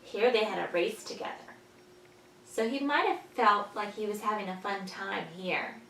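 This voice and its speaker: A woman teaching young children, patiently explaining in simple words and pausing after questions, as in a story lesson. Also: mid-range voice, animated, gravelly, pushed and bright. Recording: echoey room, distant mic, faint noise.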